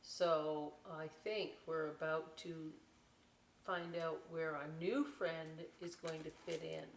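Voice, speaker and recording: A woman reading a picture book aloud as a story time host, expressive, with chatty questioning asides to young listeners. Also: low-pitched, slow pace, vocal fry, distant mic, slight background noise. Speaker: library story time reader. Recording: echoey room, away from the mic.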